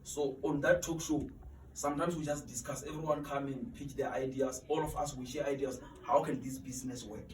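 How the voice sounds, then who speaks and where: A man's voice: medium pitch, steady tone, a little fast. Man in his twenties, earnest interview guest, stumbles and repeats words, roomy echo, mic set back. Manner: earnest, repeats words when searching for them. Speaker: interview guest, student mentor. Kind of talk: motivational interview talk. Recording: echoey room, distant mic, faint noise.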